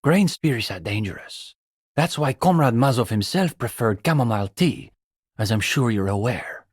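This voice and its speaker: An actor playing an earnest young communist student intellectual in a video game, speaking in an articulate, pedantic way. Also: male voice, medium pitch, animated, moderate pace, husky, no room sound, studio quality. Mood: earnest, assured. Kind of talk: video game dialogue acting.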